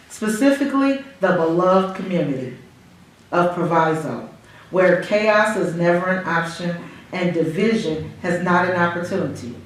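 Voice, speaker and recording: A woman reading a formal prepared statement at a school board meeting, in a solemn, measured, preacherly cadence that rises for emphasis. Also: fairly low pitch. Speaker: public commenter reading a statement. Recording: echoey room, voice far from mic.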